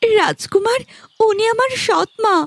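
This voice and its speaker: A woman's voice: very high voice, unhurried, dry recording. A woman playing a young maiden in a fairy-tale cartoon, with a dramatic, girlish delivery. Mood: shy. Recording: music behind the voice.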